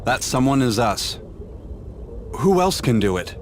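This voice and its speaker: A man's voice: medium pitch, very animated, a little slow, slight background noise. A man playing a determined, grieving fantasy hero in a video game, speaking earnestly and dramatically. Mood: determined, somber.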